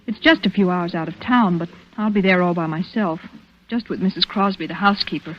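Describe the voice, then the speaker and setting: A woman's voice: lowish voice, a little fast, lightly acted. An actress playing a bold young socialite woman in a 1940s radio drama. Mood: brisk, intimate. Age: young adult. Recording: hissy, dull vintage radio recording.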